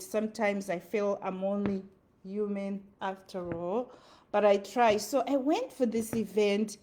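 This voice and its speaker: A woman's voice: a little high, warm tone. A woman with a Zimbabwean accent giving an earnest motivational talk, repeating her phrases for emphasis. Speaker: motivational speaker. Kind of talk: motivational monologue.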